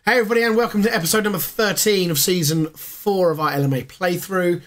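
A hoarse man in his thirties, co-hosting a casual gaming podcast from a small home room, rambling with plenty of fillers. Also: sits high, quick pace. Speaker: podcast co-host.

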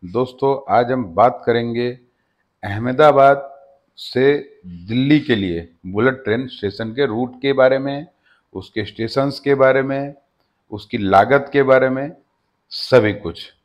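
A man hosting his own channel, giving a steady informational voice-over that often addresses viewers as friends. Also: mid-range voice, slow pace, muffled recording. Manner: steady explanatory delivery with frequent "friends" address. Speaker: channel host. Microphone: voice-over over slides.

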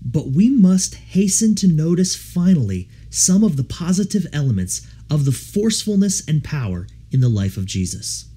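A man reading a devotional audiobook in an earnest, measured, formal way. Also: a little high, very animated, warm tone, breathy edge. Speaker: audiobook reader. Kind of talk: scripted audiobook narration of a devotional text.

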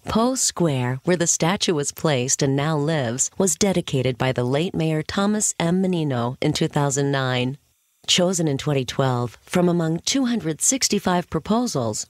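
A woman's voice: low-pitched, dry recording. A woman narrator reading a scripted factual piece.

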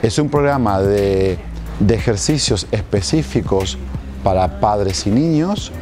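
Male fitness instructor, forties, informal presenter to camera, recorded outdoors with clear background music. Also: lowish voice, expressive, takes it slowly, a little mellow. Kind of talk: informal promotional talk to camera. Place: outdoors at a seaside marina. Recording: music playing behind the voice.

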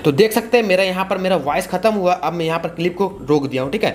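A man's voice: high-pitched, quick pace, music in the background. A man, giving a casual, repetitive step-by-step tutorial. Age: 20s. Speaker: tutorial host. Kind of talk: casual video-editing tutorial.

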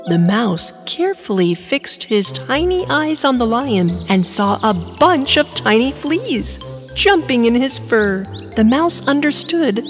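A woman narrating an animated children's storybook in an expressive storytelling manner. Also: on the high side, slow pace, faint music behind.

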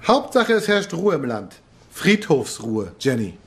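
A man's voice: a little high, very animated, a little slow. A man playing an impassioned, disillusioned revolutionary parliamentarian of 1848 in a historical docudrama. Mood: weary, bitter.

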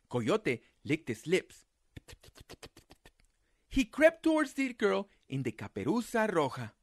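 A man reading a children's picture book aloud as a storyteller, with dramatic, deliberate phrasing. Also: high-pitched, a bit bright, no echo, studio quality.

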